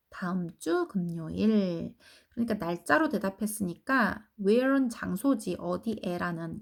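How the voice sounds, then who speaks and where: Slow gravelly-voiced woman teacher. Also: mid-range voice. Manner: explains slowly, reads English sentences very slowly and repeats them in Korean. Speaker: English teacher. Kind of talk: grammar lesson for children. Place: academy lecture recording.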